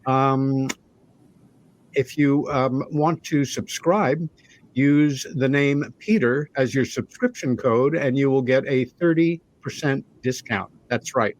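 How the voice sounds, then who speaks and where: Male talk show host with medium pitch. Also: slow, poor audio. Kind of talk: conversational show introduction and interviewing.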